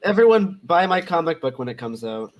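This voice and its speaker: A man's voice: fairly high pitch, big pitch swings, a little fast. A man talking casually in a group conversation. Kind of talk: casual chat.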